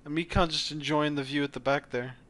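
A man's voice: a little high, fairly even intonation, fairly quick, fairly bright tone. A young man making casual, joking remarks over a video game with friends. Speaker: amateur game dubber and commentator. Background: background game music.

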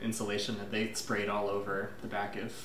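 A man's voice: fairly low pitch, monotone, fairly quick, a bit bright. A man chatting casually about technical work as part of a restoration team. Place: electronics lab workshop. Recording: echoey room, faint noise.

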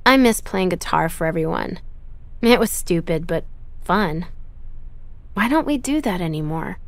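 An actress playing a wistful teenage girl in a video game, soft and subdued. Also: lowish voice, lively intonation, unhurried, dry recording. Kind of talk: quiet video game dialogue.

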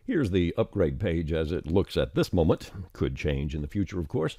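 A man narrating a scripted software tutorial, explaining things plainly step by step. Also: deep voice, very animated, moderate pace, breathy edge, no echo. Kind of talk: scripted instructional screencast narration.

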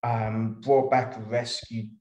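A man's voice: medium pitch, level tone, takes it slowly, a little mellow, roomy sound. A man with a British accent chatting casually as a panelist on a group video call from an indoor room. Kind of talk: casual chat.

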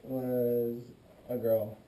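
A man teasing his friends in deadpan tones inside a cave. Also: medium pitch, extremely slow, echoey room, recorded from a distance, poor audio. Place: tight underground cave.

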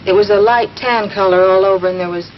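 A woman's voice: medium pitch, steady tone, fairly quick, close to an everyday voice. A young woman playing a shaken, earnest cashier giving a witness account in 1950s drama style, somewhat hesitant. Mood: hesitant, helpful. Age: young adult. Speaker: eyewitness. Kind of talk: 1950s television drama acting. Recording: dull, faintly noisy 1950s film soundtrack.

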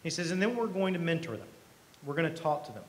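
A middle-aged man giving a conversational keynote speech at a podium microphone, earnest and emphatic, with deliberate pauses. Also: on the high side, very animated. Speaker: company president, keynote speaker. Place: event hall stage. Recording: live podium speech with clear background noise.

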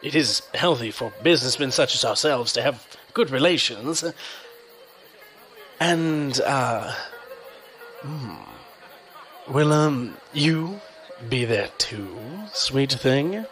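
Man playing a slow-talking, oily crime boss. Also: mid-range voice, expressive, a bit bright, faint music behind. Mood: oily, ingratiating. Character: menacing, smooth-talking crime boss and businessman. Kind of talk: audio drama acting.

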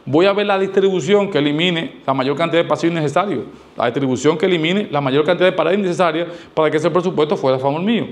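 A man's voice: fairly high pitch, fast. A middle-aged man, explaining things to camera in an emphatic, didactic way with rhetorical questions and repetition. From Dominican Republic. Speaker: architect giving advice. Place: small indoor studio, seated. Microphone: lavalier clipped to shirt.

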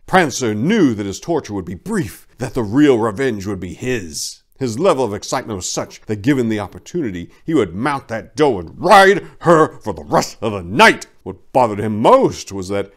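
A man in his forties reads a story aloud in a theatrical, mock-serious way. His mid-pitched voice swings widely, swelling into emphatic peaks and dropping into hushed asides. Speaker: reader. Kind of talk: dramatic reading of a parody romance story. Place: small indoor room lined with bookshelves. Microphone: lapel microphone.

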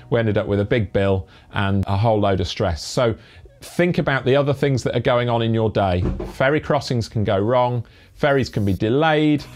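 A young man from Britain talking to camera on a lapel mic, giving brisk, earnest advice with dry asides. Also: medium pitch, a little mellow. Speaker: family cycle touring vlogger. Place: indoor room with brick wall and bikes.

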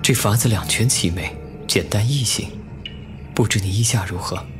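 An actor playing a scheming, lecherous young official in a Chinese costume audio drama, smug and deliberate in manner. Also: male voice, lowish voice, natural voice. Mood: cool, persuasive. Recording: music under the voice.

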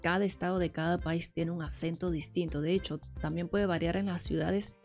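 A woman's voice: lowish voice, fairly even intonation, a little fast, a little mellow, soft background music. A woman, chatting casually into a headset mic while she reacts to a video and adds her own comments. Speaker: reaction streamer.

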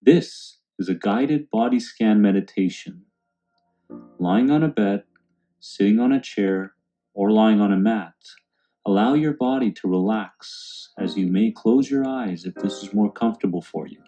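A man calmly guiding a meditation in a soothing, unhurried way. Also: on the low side. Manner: calm, soothing, unhurried instruction. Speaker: meditation guide. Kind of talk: guided meditation narration. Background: background music.

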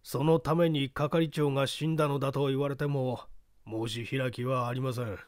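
A man's voice: medium pitch, fairly even intonation, moderate pace, no echo, clean studio sound, natural voice. Man acting a meek, timid technician on the stand. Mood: meek, apologetic. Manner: meek, deferential. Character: timid, apologetic male electrical technician testifying. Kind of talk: dramatic audiobook reading.

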